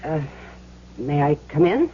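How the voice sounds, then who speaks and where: A woman's voice: deep voice, very animated, a little slow. An actress playing a tough but good-hearted young woman gambler in an earnest, emphatic, slangy way for a 1970s radio drama. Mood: guarded, businesslike. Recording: muffled, hissy old radio recording with hum.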